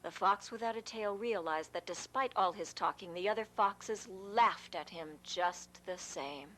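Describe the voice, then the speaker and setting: A woman narrates a fable for children. She speaks as a storyteller, with a voice that sits at an average pitch for a woman. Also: a little slow, fairly bright tone.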